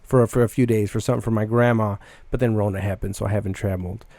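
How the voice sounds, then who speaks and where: Fast-talking man podcast host with creaky voice. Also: lowish voice, steady tone, warm tone, dry recording. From United States. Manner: rambling, self-interrupting, casually profane. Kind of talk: casual podcast chat.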